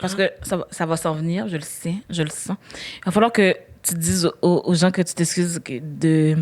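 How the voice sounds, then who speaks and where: A young woman, co-hosting a podcast with earnest, quick reactions. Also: fairly low pitch, fairly even intonation, darkish tone. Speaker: podcast co-host. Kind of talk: casual chat.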